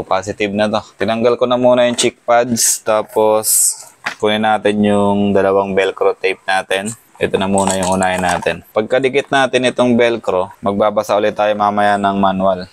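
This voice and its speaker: A Filipino man giving a casual hands-on installation walkthrough, thinking aloud as he works. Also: lowish voice. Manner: thinking aloud while working with his hands. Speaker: motorcycle gear vlogger.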